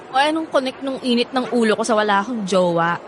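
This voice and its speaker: A woman with a Filipino accent playing a bitter, sharp-tongued, hot-headed young woman in a radio drama. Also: on the high side, lively intonation, quick pace, a little hiss or hum, natural voice. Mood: irritated. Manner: sassy, blunt, slangy.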